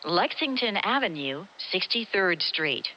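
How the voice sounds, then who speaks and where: A woman with an American accent reads scripted transit announcements in a measured, formal, clearly enunciated way. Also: on the low side, big pitch swings, heavy background noise. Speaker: subway announcer.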